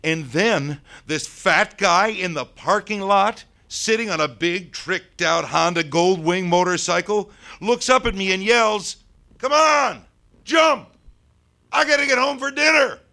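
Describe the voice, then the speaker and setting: A man's voice: mid-range voice, very animated, slow, pitched above the performer's own voice, exaggerated voice. A man voicing the flippant, smarmy ghost of a suicide in a spoken horror story, theatrical and mock-sincere. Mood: loud, excited. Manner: theatrical, mock-sincere, swinging into loud exclamations.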